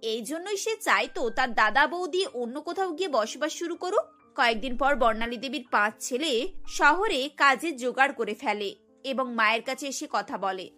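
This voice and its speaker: A woman's voice: high-pitched, lively intonation, normal speed, sharp tone, soft background music. A woman narrating a scripted cartoon story.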